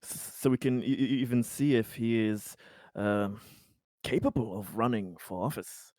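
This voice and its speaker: A man improvising in character at a tabletop roleplaying game, politely and with a hesitant, halting delivery. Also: fairly low pitch, a little mellow, no room sound.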